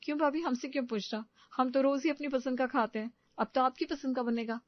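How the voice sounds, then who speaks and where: A woman's voice: high voice, steady tone, fairly quick, dry recording. Caring young sister-in-law, acted by a woman, muffled sound. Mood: friendly. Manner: reassuring, informal.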